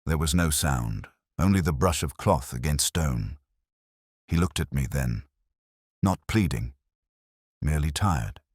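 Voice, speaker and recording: A man playing a melancholy detective who recalls a case in measured, literary first-person mystery narration. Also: extremely low pitch, expressive, darkish tone, husky, dry recording, studio quality, heavily acted. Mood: brooding, reflective. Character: melancholy older male English police detective recalling a case. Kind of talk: scripted first-person mystery narration, radio drama style.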